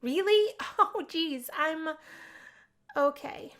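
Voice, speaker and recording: Woman playing a shy, high-voiced college girl. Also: animated, extremely slow, fairly bright tone, exaggerated voice. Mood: tentative. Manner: hesitant, tentative.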